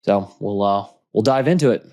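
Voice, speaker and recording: Laid back podcast host dude, lowish voice, lots of ums and uhs. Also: male voice, normal speed, darkish tone. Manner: easygoing, lots of fillers and restarts. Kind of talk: casual podcast chat.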